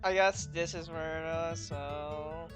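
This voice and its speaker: Woman gaming casually over clear game music. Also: low voice, steady tone, slow pace. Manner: offhand, muttering to self. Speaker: gaming streamer. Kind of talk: casual gameplay commentary.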